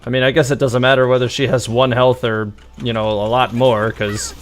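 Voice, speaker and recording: A man's voice: mid-range voice, flat delivery, a little fast. A man livestreaming a game, musing aloud in a wry, casual way. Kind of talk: casual live gameplay commentary.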